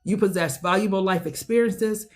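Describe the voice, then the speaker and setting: A woman giving a tarot reading to her viewers, slangy and profane, preaching with loads of enthusiasm and a voice that swings high and low. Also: moderate pace. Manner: talks straight to viewers as a group. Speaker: tarot reader. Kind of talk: casual tarot reading to camera.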